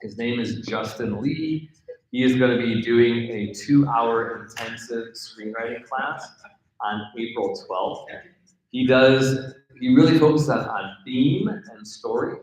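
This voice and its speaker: A man's voice: medium pitch, takes it slowly, darkish tone. A man hosting a live gathering, making informal announcements in a conversational way and putting questions to his listeners. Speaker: event host and program organizer. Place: indoor mixer venue in front of a gathering. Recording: very echoey room, live event.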